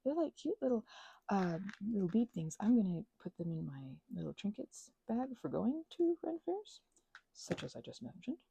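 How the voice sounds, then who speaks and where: A woman rambling playfully in casual ASMR craft chat, scatterbrained and often interrupting herself, in a husky, breathy voice that often drops toward a whisper. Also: medium pitch, big pitch swings, moderate pace, warm tone, dry recording. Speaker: ASMR creator.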